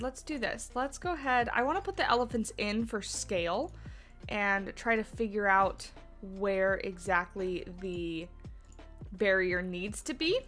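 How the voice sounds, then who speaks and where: A congested, sniffly woman hosts a relaxed game livestream. She rambles with many fillers and restarts and reads viewers' messages aloud. Faint music plays quietly behind her. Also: on the high side. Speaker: livestream host. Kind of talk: casual livestream chat.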